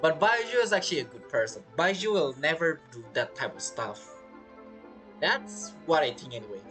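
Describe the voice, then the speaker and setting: A man's voice: a little high, very animated, normal speed, a bit bright, soft background music. A man giving casual playthrough commentary as a gaming streamer, chatty and musing aloud.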